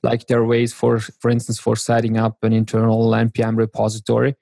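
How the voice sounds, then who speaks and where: A man from northern Italy, a software developer explaining things as a podcast guest. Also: medium pitch, completely flat delivery, brisk, creaky, no room sound, studio quality. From South Tyrol, northern Italy. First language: German. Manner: explanatory, with restarts. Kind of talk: casual technical chat.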